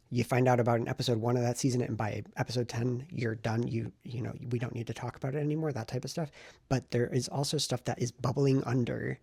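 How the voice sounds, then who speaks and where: A man with a heavily fried, husky voice talks in a loose, conversational vlog style. He thinks aloud, circling back over his points in a self-reflective ramble. The sound is dry, with very little room around the voice. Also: mid-range voice, a little mellow, no echo. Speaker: writing vlogger and novelist. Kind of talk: casual talking-head vlog.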